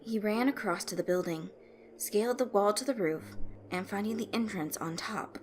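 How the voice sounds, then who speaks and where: A woman's voice: medium pitch, normal speed, a little hiss or hum. A woman reading scripted fan fiction as a storyteller. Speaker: narrator. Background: background music.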